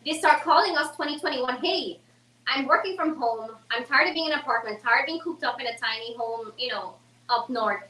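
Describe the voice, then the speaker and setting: A woman's voice: sits high, brisk, pushed and bright, recorded from a distance. A woman realtor, a guest on a talk show, chatting casually and animatedly, laughing often. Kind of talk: casual chat. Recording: roomy sound from off mic.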